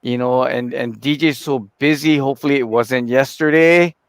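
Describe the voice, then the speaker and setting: A man who runs the organization chats on a live studio broadcast, trading jokes and teasing his co-hosts. His talk is loose and friendly, full of "uh" and restarted sentences. His pitch is ordinary, and the recording is close and dry. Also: fairly even intonation, moderate pace, no echo. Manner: joking, chatty, with fillers and restarts. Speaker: head of the organization. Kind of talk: casual live talk show chat.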